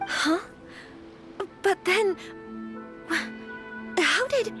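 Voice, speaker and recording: A high-pitched young noblewoman of anime fantasy games, dutiful and anxious. She speaks politely and earnestly with a formal hesitance, over clearly audible background music. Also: animated, drawn-out words, exaggerated voice. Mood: puzzled. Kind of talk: anime fantasy video game voice acting. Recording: background music under studio voice.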